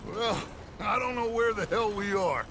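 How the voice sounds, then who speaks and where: An actor playing a theatrical, eloquent middle-aged outlaw gang leader with an American accent in a cinematic video game. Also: male voice, high-pitched, a little slow, noisy background. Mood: rousing, resolute. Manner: grandiloquent, persuasive, orating.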